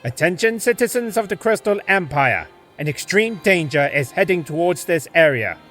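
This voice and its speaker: A man's voice: high-pitched, expressive, normal speed, a bit bright, faint music behind. A man playing a formal guard pony giving a public warning in an audio drama. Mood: urgent, formal. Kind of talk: formal announcement.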